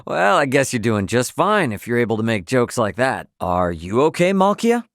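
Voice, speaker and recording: A man acting a brash but friendly young spacefaring adventurer in a video game, with a casual, expressive, slangy delivery. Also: medium pitch, measured pace, no room sound, studio quality. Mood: curious, concerned.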